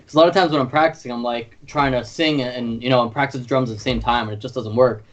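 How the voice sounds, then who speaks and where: A man chatting informally as a musician being interviewed, animated and easygoing. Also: medium pitch, fast talker. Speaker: band drummer and singer being interviewed. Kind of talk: casual interview chat.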